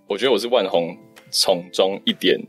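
A man's voice: low voice, brisk, breathy edge. A man chatting casually as a guest in group banter, joking and rambling as he goes. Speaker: voice actor, guest on a free talk. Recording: music behind the voice. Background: music.

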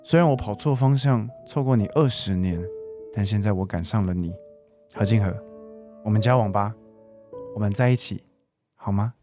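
A man's voice: lowish voice, moderate pace, pauses often, over music, lightly acted. A young man acting in a radio drama as a teasing, earnest man in his forties courting an old friend. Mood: romantic, pleading. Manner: teasing, persuasive.

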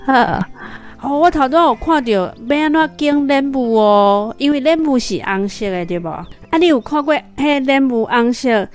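A woman's voice: high voice, animated, normal speed, soft background music. A woman with a Taiwanese accent talking children through a picture book as their mother, asking guiding questions and repeating answers.

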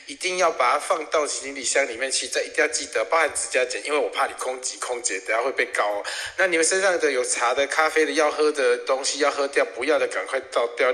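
A man's voice: a little high, pushed and bright, long reverb tail. A man with a Taiwanese accent playing a chatty, wisecracking budget tour guide in an audio comedy. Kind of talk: audio comedy radio drama acting.

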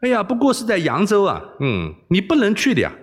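A man's voice: mid-range voice, huge pitch swings, fairly quick. A man voicing a cheeky, foul-mouthed young rogue posing as a palace eunuch, brash and exclamatory, in audiobook storytelling. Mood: gleeful, scheming.

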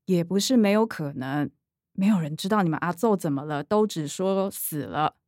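A woman's voice: fairly low pitch, moderate pace, darkish tone, no echo, studio quality, lightly acted. A woman playing a chatty, joking middle-aged mother in a radio drama, bustling and exclaiming with a Taiwanese accent. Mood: gossipy.

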